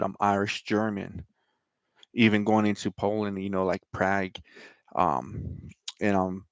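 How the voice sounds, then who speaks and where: A man's voice: on the low side, a bit bright, no echo. A middle-aged man from Denver with an American accent, reading scripture and talking informally about himself as host of his show. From Denver, Colorado, United States. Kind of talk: scripture reading and personal talk.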